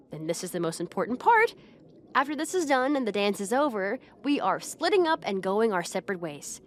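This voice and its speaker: A young woman acting a proud, bossy popular high school girl who gets flustered, in a roleplay audio addressed to the listener. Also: slight background noise, lightly acted. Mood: bossy, strict. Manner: haughty, bossy, flustered hesitations.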